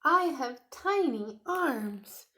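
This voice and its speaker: A woman's voice: high-pitched, expressive, extremely slow, pushed and bright, a little hiss or hum, pitched above the performer's own voice, heavily acted. A woman voicing a small, earnest young male dinosaur in a storybook, speaking in simple, emphatic sentences. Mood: sad, determined. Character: small earnest young male T. rex, sad but determined.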